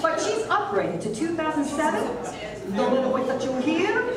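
A middle-aged woman doing a brassy, animated stand-up set on a comedy club stage, swooping between high and low for effect. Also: normal speed. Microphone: handheld mic, recorded by far camera. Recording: roomy club sound from far off, crowd laughter. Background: audience laughter.

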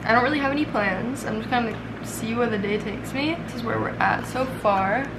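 A woman's voice: fairly high pitch, brisk, recorded from a distance, heavy background noise. A woman in her twenties chatting casually as she walks through her everyday makeup routine in her bedroom, talking to a camera set up at arm's length. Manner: chatty, relaxed, lots of "like" and "um". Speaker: vlogger. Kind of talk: casual makeup vlog chat. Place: small bedroom with bathroom doorway, indoors.

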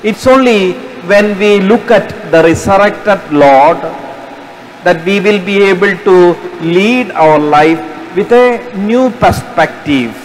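A priest in his forties delivers a homily from the pulpit, his fairly high voice carried through a stand mic. He speaks slowly and deliberately. The sound rings with a long cathedral reverb over a faint hiss. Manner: slow and deliberate, gesturing with raised hands. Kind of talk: sermon.

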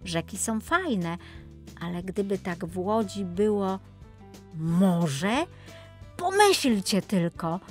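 Lively woman storyteller with animated pitch. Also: takes it slowly, soft background music. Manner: expressive, playful storytelling. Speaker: storyteller narrator. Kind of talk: scripted children's story narration.

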